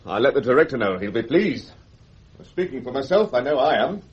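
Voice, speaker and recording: Husky police superintendent. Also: male voice, medium pitch, sing-song, fairly quick, lo-fi sound. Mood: matter-of-fact. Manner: formal, official, apologetic. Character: deferential, matter-of-fact male police superintendent. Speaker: actor in a radio drama. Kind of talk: BBC radio drama acting.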